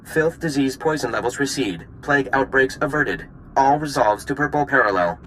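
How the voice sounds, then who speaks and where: An actor playing a politely pedantic alien science unit in a video game, with formal, oddly stilted delivery. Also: male voice, mid-range voice, normal speed, a bit bright, slight background noise, close to an everyday voice, rough recording. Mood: formal, curious.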